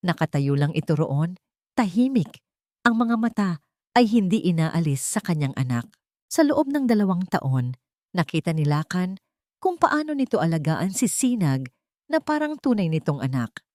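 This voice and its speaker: A woman narrating a scripted drama in short, measured, emotive phrases. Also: lowish voice, darkish tone, no room sound, studio quality.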